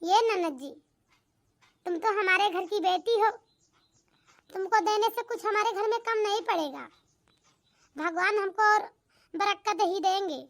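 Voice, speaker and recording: A woman voicing a gentle, generous young wife who gives away her things. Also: very high voice, measured pace, long pauses. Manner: gentle and giving. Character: kind generous young wife, daughter-in-law.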